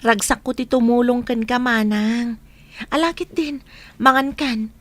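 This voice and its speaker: An actress playing a caring, gently coaxing village woman in a radio drama. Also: fairly high pitch, a little slow, close to an everyday voice. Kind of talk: radio drama acting in Ilocano.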